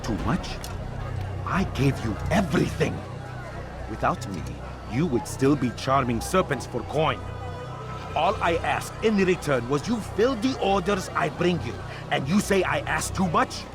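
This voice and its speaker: A man's voice: a little high, lively intonation, unhurried. An actor playing a proud, menacing male arms dealer in a video game, imperious and scornful with a theatrical delivery. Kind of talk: video game cutscene acting. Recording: game music behind the voice.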